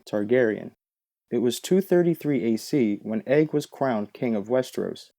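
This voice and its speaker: Male video host, middle pitch, dark soft-edged tone, scripted explainer delivery.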